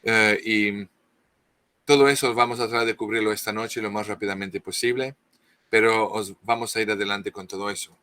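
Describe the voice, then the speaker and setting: A man's voice: on the low side, fairly even intonation, pushed and bright. A middle-aged man from France with a French accent, speaking as a guest author and lecturing at length with frequent restarts and fillers. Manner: halting, many restarts and fillers. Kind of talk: interview, lecturing.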